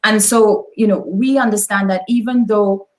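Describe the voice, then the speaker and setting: A woman hosts a live online session over a video call. She speaks in a measured way, reads her introduction and then asks long questions with plenty of "you know" and "um." Her voice sits at an average pitch. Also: steady tone. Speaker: host. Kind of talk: scripted show introduction then interview.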